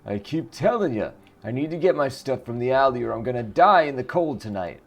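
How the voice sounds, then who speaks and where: A man's voice: medium pitch, expressive, fairly quick, voice pushed up. A man voicing a desperate, indignant homeless man from a video game, pestering the police. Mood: pleading. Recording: clear background noise.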